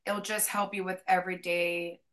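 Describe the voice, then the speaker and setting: A woman's voice: on the low side, level tone, moderate pace, a bit bright. A woman speaking casually and supportively, adding a short comment.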